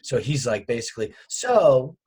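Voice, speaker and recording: A man's voice: mid-range voice, very animated, moderate pace, a little mellow, breathy edge. A young man from Virginia, a comedian guest, telling stories with a wry tone in a casual podcast chat. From Richmond, Virginia, USA. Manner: wry storytelling with laughs.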